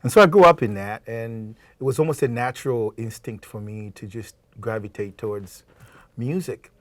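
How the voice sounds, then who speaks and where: A man in his thirties from Zambia, a singer-songwriter guest talking casually in a TV studio, animated and quick to laugh. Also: medium pitch, no room sound. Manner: animated, laughs often, repeats words. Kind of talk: casual interview talk. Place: TV studio set.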